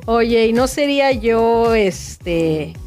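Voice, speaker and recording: A woman's voice: fairly high pitch, expressive, very slow, darkish tone, faint music behind. A woman with a northern Mexican accent hosting a podcast, chatting casually with a guest in an effusive, encouraging manner. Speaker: podcast host interviewing a guest. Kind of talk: casual interview chat. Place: recording booth.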